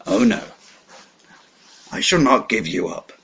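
A man doing a calm, menacing old villain. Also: fairly low pitch, takes it slowly, vocal fry, breathy edge, full character voice. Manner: quiet, calm and assured. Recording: dull lo-fi recording.

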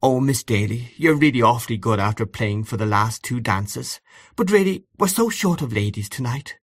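A man voicing a polite, brisk young hostess who coaxes guests in an audiobook story. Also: mid-range voice, expressive, slightly rough, no room sound, clean studio sound. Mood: coaxing. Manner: polite, coaxing. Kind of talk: audiobook character voice.

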